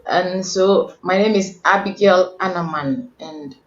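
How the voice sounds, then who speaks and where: A woman from Ghana introduces herself in a polite, unhurried way. Her voice sounds muffled and lo-fi over a video call. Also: fairly low pitch, reverberant. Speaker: panel guest, health practitioner.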